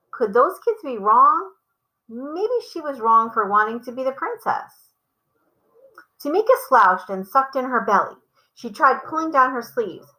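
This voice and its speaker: A woman reads a picture book aloud for children. Her voice sits a little high and has a bright, forward tone. She phrases each line clearly and leans on key words. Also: rough recording. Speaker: storybook reader for children. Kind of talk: children's read-aloud storytelling.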